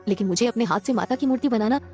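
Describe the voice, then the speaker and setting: Woman as a gentle, humble, high-voiced young woman. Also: racing, soft background music, natural voice. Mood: patient. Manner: gentle, earnest. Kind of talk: Hindi cartoon moral-story dialogue.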